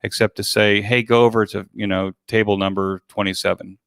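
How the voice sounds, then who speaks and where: An older man talks as a guest in a casual interview, his voice fairly low with a strong creak. He phrases things haltingly, doubling back on words. The sound is close and dry. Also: flat delivery, fairly bright tone, dry recording. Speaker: guest, virtual expo organizer.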